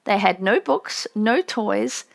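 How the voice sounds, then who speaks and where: A woman reading a memoir as an audiobook narrator, with measured storytelling delivery. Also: mid-range voice, very animated, vocal fry.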